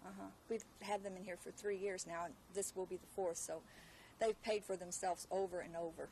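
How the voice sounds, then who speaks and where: Woman, interviewee, gravelly fry, unscripted news answer, slightly noisy archival audio. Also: lowish voice, fairly even intonation, a little fast, warm tone. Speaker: homeowner interviewee. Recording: archival TV interview, faint noise.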